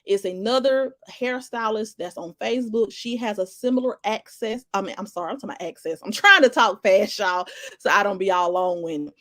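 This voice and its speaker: A woman's voice: medium pitch, brisk, a bit bright, dry recording. A woman giving casual live commentary, greeting viewers and rambling through restarts and fillers. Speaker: livestream commentator.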